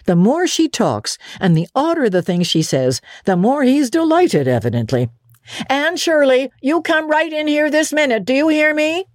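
A woman voicing a strict, sharp-tongued older woman who scolds briskly. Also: fairly low pitch, wildly swooping pitch, no room sound, studio quality, voice pushed up. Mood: stern.

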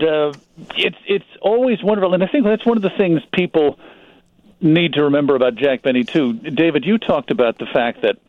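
A man talking as a guest in a radio interview, telling stories in a measured, hesitant way with pauses. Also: mid-range voice, no echo, narrow, tinny audio. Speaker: guest, magazine publisher.

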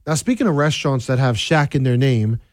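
A man from southern Louisiana hosting a casual radio talk show with a softer voice and a halting, conversational way of talking, close on a studio mic. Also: medium pitch, dry recording. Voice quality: softer, less projecting voice. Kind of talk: casual radio chat with listener messages. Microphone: close studio microphone.

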